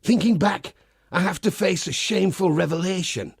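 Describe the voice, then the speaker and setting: A man voicing a pious, wry crusader priest in a video game, with a husky, gravelly voice and a measured, folksy preacher's manner. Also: high-pitched, dry recording, clean studio sound, full character voice. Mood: earnest, confessional. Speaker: game character. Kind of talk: scripted game character monologue.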